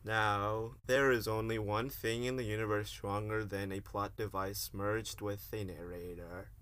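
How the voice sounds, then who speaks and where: Young man as grandiose philosophy-major hero for comedy radio play; lowish voice, mock-heroic, slangy. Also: flat delivery, a little slow, fairly bright tone, smooth. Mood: megalomaniac. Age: young adult. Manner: pompous mock-heroic declamation mixed with slang.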